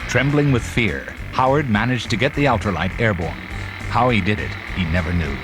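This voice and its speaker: A man's voice: lowish voice, normal speed, rough recording. A man giving dramatic scripted narration for a children's read-along story. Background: loud music and sound effects.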